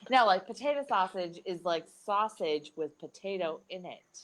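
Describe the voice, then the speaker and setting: A woman's voice: mid-range voice, lively intonation, measured pace, a bit bright, slight background noise, low-quality recording. A woman from Minnesota chatting casually as a co-host, teasing and laughing often. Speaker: live chat co-host. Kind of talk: casual banter.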